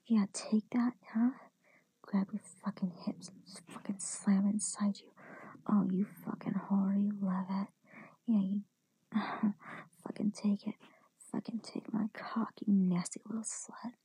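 Adult woman for intimate audio roleplay: very husky, breathy whisper with fry, commanding and teasing delivery. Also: medium pitch, little pitch movement, unhurried, mellow, no echo, low-quality recording. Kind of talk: whispered erotic audio roleplay, addressing the listener.

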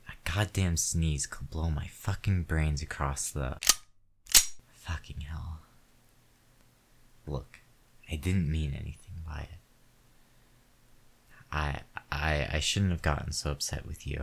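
A man voicing a crude, hot-tempered man in an audio roleplay, with a low, heavy voice and slow, deliberate delivery. He snarls through nearly every line with constant cursing, and his bluster can give way to frightened pleading. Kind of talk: one-sided audio roleplay.

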